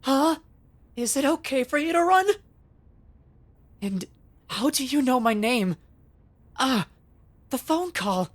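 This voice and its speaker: Woman acting high-voiced eager rookie detective. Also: animated, slow pace, pauses often, exaggerated voice. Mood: flustered. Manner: earnest, exclamatory. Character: earnest, excitable young male police detective, naive and eager. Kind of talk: anime dub voice acting.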